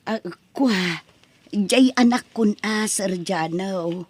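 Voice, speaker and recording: A woman's voice: mid-range voice, takes it slowly, a little mellow, dry recording. A woman playing a poor, loving mother in a drama, with an apologetic, deferential manner. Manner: plaintive, deferential. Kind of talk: Ilocano radio-style drama acting.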